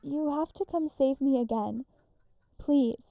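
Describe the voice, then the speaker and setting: A woman playing a frightened sister lost in a dream realm in an audio drama, halting, fragile and pleading. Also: sits high, creaky, no room sound. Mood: scared, pleading. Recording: muffled, dull, dry sound.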